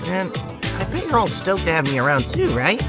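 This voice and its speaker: A man's voice: high-pitched, wildly swooping pitch, a little fast, over music. A young man playing a brash, cocky teenage schoolboy in a fan audio drama, slangy, boastful and easygoing. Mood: cocky, friendly.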